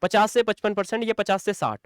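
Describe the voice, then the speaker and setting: A man's voice: high voice, a little fast, clean tone, dry recording, clean studio sound. A man giving an informal exam-coaching lecture, repeating points for emphasis and addressing students as brothers and friends. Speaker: online exam-coaching teacher.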